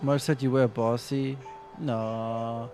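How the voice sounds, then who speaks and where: A man's voice: medium pitch, steady tone, slow, music in the background. A man streaming a video game, musing aloud and talking back to the characters in a casual, chatty way.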